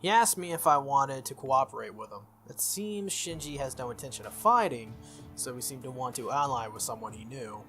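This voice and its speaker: A man's voice: mid-range voice, expressive, a little fast, fairly bright tone. A man reading the lines of an earnest, good-natured teenage schoolboy from a visual novel. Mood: earnest, defensive. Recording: music behind the voice.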